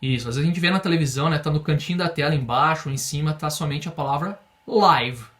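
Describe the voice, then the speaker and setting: Brazilian man, English teacher, young adult, patient drilling style, slightly higher voice. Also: a bit bright. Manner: patient, explains slowly, drills phrases for repetition. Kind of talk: online language lesson.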